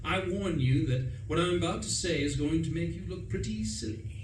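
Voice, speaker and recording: A man playing a pompous, excitable young upper-class gentleman, indignant and self-satisfied, in a radio play. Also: a little high, a little fast, close to an everyday voice. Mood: smug, triumphant. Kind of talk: stage radio play acting with first-person narration. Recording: roomy, distant stage recording, clear noise.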